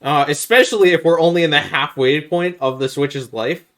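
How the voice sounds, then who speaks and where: A man's voice: a little high, moderate pace, fairly bright tone. Explanatory man chatting on a gaming podcast. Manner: explanatory, makes points step by step. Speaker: podcast host. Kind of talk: casual gaming podcast chat.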